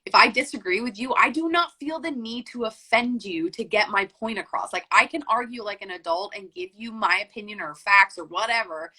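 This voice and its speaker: Young woman guest, bright voice, chatty, says like a lot. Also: a little high, fairly quick, hardly any pauses, husky. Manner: chatty, frequent "like" and "um" fillers. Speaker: podcast guest. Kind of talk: casual conversational interview.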